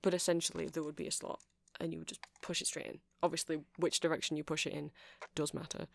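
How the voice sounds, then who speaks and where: A man's voice: on the high side, flat delivery, fast talker, vocal fry, dry recording. A man playing a nerdy, friendly vampire music shop clerk in an ASMR roleplay, rambling and hesitant as he explains things. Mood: chatty, nerdy. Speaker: shopkeeper character in ASMR roleplay.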